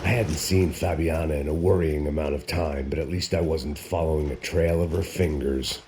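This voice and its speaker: A very low-pitched American man, narrates like a washed-up noir bodyguard in a video game. He is terse, sardonic and bitter, a world-weary drinker, heard over clear background noise. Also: steady tone, moderate pace. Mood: brooding. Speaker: narrating protagonist.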